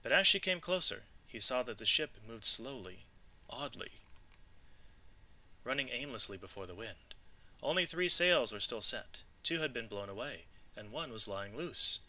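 A man narrating an educational storybook read-aloud, with expressive, clearly emphasised delivery. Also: medium pitch, normal speed, poor audio.

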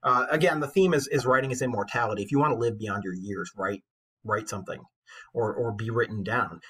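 A man's voice: on the low side, fairly quick, fairly bright tone, slightly rough. A man in his forties, an English teacher, giving an informal literature lecture in an earnest, conversational, emphatic way.

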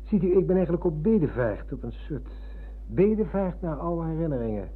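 An actor playing an earnest, courteous younger visitor in a radio play, with a husky voice. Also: male voice, on the high side, big pitch swings, brisk. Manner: courteous, deferential. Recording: thin, phone-like old radio recording.

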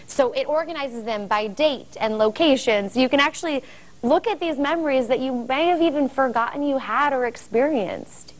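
Higher-voiced American woman, promotional interview. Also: normal speed, rough recording. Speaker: guest spokesperson promoting a product. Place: furnished living-room set indoors. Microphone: lapel mic.

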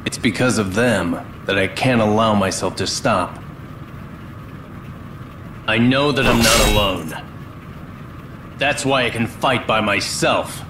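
A man playing an aloof, quietly determined young warrior in a video game, terse and restrained. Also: fairly low pitch, takes it slowly, long pauses, noisy background.

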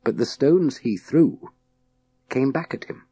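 A man reads a story aloud in a low, mellow voice with a slightly husky edge. His delivery is measured and dramatic, very slow, with deliberate pauses between phrases. He sounds like a practised audiobook narrator telling children a tale. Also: expressive, no echo. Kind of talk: scripted storytelling narration of a children's science fiction novel.

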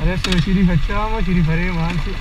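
A high-voiced young man talks chattily and pokes fun at himself while riding. His voice sounds muffled and a little distant through a helmet camera, with heavy road and engine noise underneath. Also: fairly even intonation, fast talker. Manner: chatty, self-mocking. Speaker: motovlogger. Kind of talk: casual motovlog commentary. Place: on a motorcycle outdoors on city streets.

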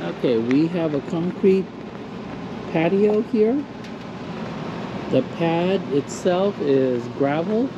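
A middle-aged man talks slowly and casually into an action camera held at arm's length outdoors. His delivery is unhurried and chatty, and the sound is a little dull, with steady noise behind him. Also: a little high. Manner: chatty, walking viewers through the campsite. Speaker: vlogger. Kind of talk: casual travel vlog. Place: outdoors at a campground beside a trailer. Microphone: action camera on a short pole at arm's length.

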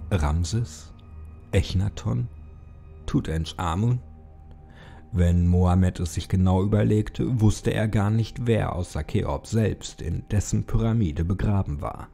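A man reads a horror story as a dramatic audiobook. His voice is mellow and dark, with a gravelly creak. Soft music plays faintly behind him. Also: on the low side. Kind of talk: dramatic scripted audiobook narration. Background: background music.

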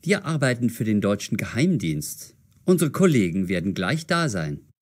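A man's voice: on the low side, sing-song, normal speed. An actor playing an eager, earnest teenage boy sleuth in an audio drama. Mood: earnest bluffing. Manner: earnest, protesting. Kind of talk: audio drama for language learners.

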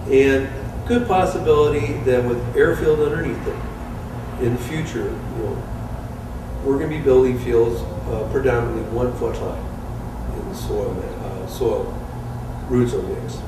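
A man in his fifties, a sports turf manager, giving an informal, halting technical explanation to camera. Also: mid-range voice. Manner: halting, hesitant delivery. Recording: distant, roomy sound with clear background noise.

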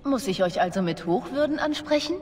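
A woman's voice: fairly high pitch, animated, fairly quick, darkish tone, natural voice. A woman voicing a wary, wronged young bard in a video game, with guarded, questioning delivery. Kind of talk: dramatic video game voice acting. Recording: long reverb, music behind.

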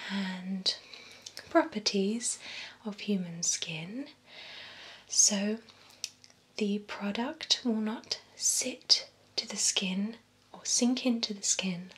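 Whispering, unhurried vampire beautician played by a woman. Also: mid-range voice. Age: young adult. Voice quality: breathy, whispered soft speech. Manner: slow, soothing, formal, attentive. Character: poised, courteous female vampire spa beautician. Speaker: ASMR performer. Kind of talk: whispered ASMR roleplay. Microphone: close binaural microphone. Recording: close ASMR microphone with background hiss.

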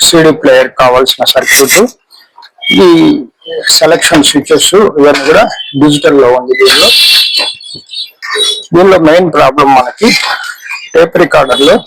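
A man gives an informal, hands-on repair explanation, haltingly and repetitively, mixing in English technical terms. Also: fairly high pitch. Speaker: electronics repair demonstrator. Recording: clear background noise with clicks.

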